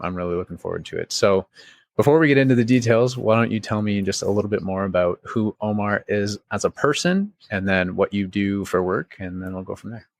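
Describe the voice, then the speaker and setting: A young man hosting a podcast interview in a casual, chatty way, with informal phrasing and lots of "like". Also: sits low. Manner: chatty, informal, peppers talk with "like". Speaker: podcast host.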